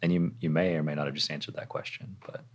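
A man's voice: very deep voice, fairly even intonation, fast talker. A man hosting an interview, asking long, rambling, encouraging questions. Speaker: podcast host. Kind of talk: conversational interviewing.

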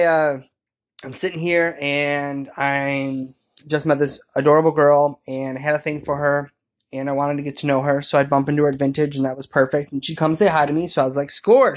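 A young man chatting casually as he co-hosts, telling a story in a jokey, rambling way and acting out little quoted asides. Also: a little high. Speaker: co-host telling the couple's story.

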